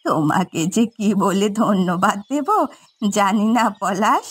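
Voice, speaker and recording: A woman's voice: mid-range voice, expressive, unhurried, slightly rough, dry recording. A woman dubbing a frail, plaintive old village granny in a melodramatic cartoon. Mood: grateful, soothing.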